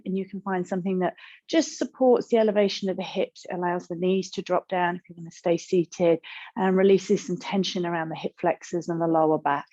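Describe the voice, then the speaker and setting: Gentle woman guiding meditation, slightly muffled. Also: lowish voice. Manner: gentle, calm, unhurried with pauses. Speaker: yoga and meditation teacher. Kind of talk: guided meditation instruction.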